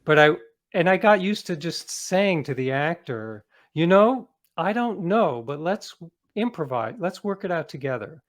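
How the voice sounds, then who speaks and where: Middle-aged male author as interview guest, slightly high voice, reflective and conversational. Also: sing-song, measured pace.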